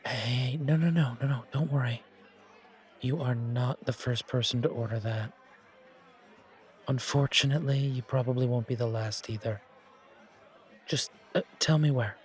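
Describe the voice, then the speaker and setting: A man's voice: mid-range voice, unhurried, long pauses, lightly acted. Friendly bartender, played by a man, mid-range voice, casual and soothing roleplay delivery, light crowd murmur behind. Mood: concerned, reassuring. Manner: chatty, hesitant, soothing. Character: friendly, reassuring male bartender at a supernatural bar. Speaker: audio roleplay voice actor. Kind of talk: casual, naturalistic one-sided roleplay acting. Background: bar crowd chatter.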